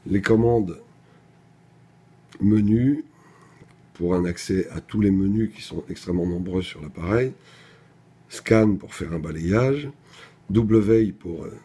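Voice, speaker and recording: A man's voice: low voice, unhurried, pauses often, dark tone, slightly rough. A man presenting a product in an unscripted technical demonstration, explaining things methodically, step by step.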